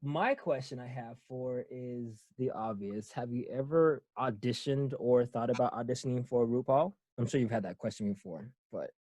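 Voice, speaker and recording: A man's voice: mid-range voice, moderate pace, few pauses, mellow, dry recording. A man hosting a casual interview, talking in a rambling way with lots of fillers. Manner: rambling, many fillers, laughs often. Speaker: podcast host.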